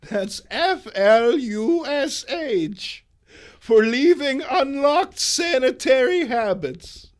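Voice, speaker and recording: A man's voice: extremely high pitch, expressive, very slow, pitched above the performer's own voice, full character voice. A man voicing a feisty, indignant old woman crusader in a theatrical, emphatic comic book reading. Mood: crusading. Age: elderly.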